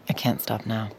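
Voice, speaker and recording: Troubled secretive woman for an audio drama, really low creaky voice, confiding and guarded. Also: a little mellow, a little hiss or hum, exaggerated voice. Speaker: lead actor and first-person narrator. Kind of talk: audio drama acting with inner monologue.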